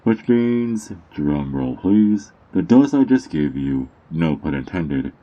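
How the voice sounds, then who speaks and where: Very deep, dark-voiced sly drug dealer played by a man. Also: big pitch swings, dull audio, full character voice. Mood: menacing, gloating. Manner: teasing, theatrical, smug, with drawn-out words. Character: sly, mocking underground drug dealer peddling vampire-blood drug. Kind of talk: ASMR character roleplay monologue.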